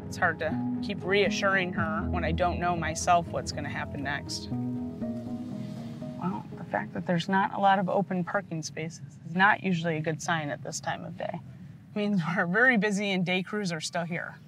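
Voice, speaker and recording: A woman in her thirties, a paramedic, speaking in a plain-spoken, steady, matter-of-fact way in a documentary interview. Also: on the low side, normal speed, soft background music.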